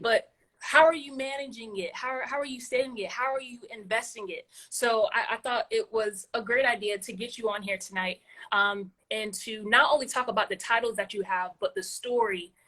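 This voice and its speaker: A woman hosting a casual interview, her voice sitting fairly high. She encourages her guest warmly and often repeats a word as she works out her next thought. She sounds like she is on a video call. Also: moderate pace, poor audio. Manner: encouraging, repeats words while thinking. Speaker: podcast host.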